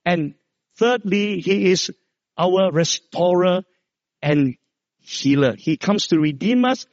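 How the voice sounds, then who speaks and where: A middle-aged preacher with a loud, high-pitched voice delivers a Christmas sermon. He tells stories and drops low to stress key words. The recording is dry and somewhat muffled. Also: expressive, slow pace, no echo. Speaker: pastor. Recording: dull, dry lo-fi sound.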